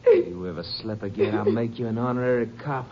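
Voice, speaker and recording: A dull, noisy old radio crime drama recording carries a menacing killer, played by a man. He is sadistic and sneering, taunting in a medium-pitched voice. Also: quick pace, natural voice. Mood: cruel, gloating. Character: sadistic, sneering male killer bullying his girlfriend.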